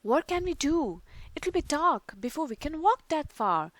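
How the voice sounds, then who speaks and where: A woman voicing an eager, excited little boy in a storybook read-aloud, exclaiming often. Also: sits high, unhurried, no echo, pitched above the performer's own voice.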